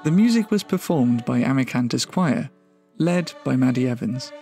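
A man's voice: medium pitch, animated, moderate pace, creaky, a little mellow. A man reading scripted end credits as an announcer. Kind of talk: scripted narration. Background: music.

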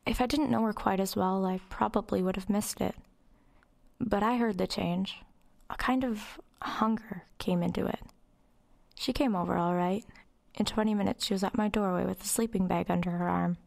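A woman's voice: medium pitch, fairly even intonation, normal speed, vocal fry, natural voice. A woman playing a brooding, eerily detached teenage girl who narrates her own story in a radio horror drama. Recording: music under the voice.

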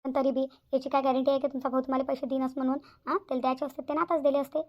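Lady doing worried cartoon village mom, vidarbha marathi accent, talks super fast and pleading, kinda muffled lo-fi audio. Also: high-pitched, little pitch movement, noisy background. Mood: upset, pleading. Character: worried, hard-working village mother, pleading and stubborn.

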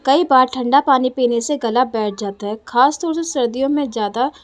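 A woman narrating home remedy tips over text slides in a plain, steady reading voice. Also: high-pitched, normal speed.

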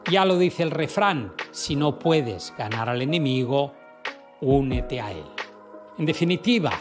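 A man's voice: medium pitch, animated. A man in his fifties giving a scripted, emphatic explainer to camera through a studio microphone in a dim room. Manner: emphatic, measured delivery. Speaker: finance YouTuber. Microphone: studio mic on stand. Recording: background music under voice.

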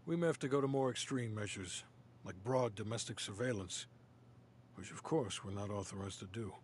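A man's voice: on the low side, moderate pace, a little mellow, slight background noise, close to an everyday voice. A man playing a sober, businesslike intelligence officer giving a formal, matter-of-fact briefing in a video game. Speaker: game character voice actor.

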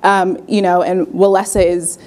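Young female co-host, low voice, earnest opinion delivery in studio. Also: flat delivery, moderate pace, darkish tone. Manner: earnest, argues her point with fillers. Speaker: news show co-host. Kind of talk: news commentary. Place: TV studio desk. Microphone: lapel mic.

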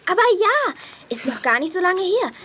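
Very high, excitable little-sister girl. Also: moderate pace, slight background noise, exaggerated voice. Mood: persuading. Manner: exclamatory, eager, slangy. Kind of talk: children's audio drama acting.

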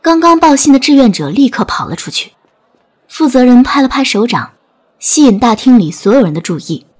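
A woman's voice: a little high, very animated, brisk. A woman narrating a scripted dramatic audiobook story. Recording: music bed under narration.

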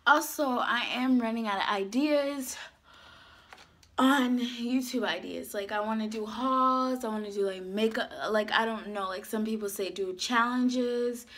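A young woman chatting to camera in a casual vlog, her voice worn from a sore throat, animated and gesturing as she talks. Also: on the high side, unhurried. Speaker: YouTuber. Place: small indoor room, dark backdrop.

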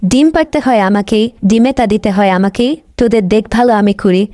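A woman's voice: medium pitch, normal speed, dark tone, no room sound, clean studio sound, lightly acted. A woman voicing a grumbling, overworked mother animal who scolds and complains in a children's cartoon. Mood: exasperated, complaining. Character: grumbling overworked mother animal, nagging about her idle husband.

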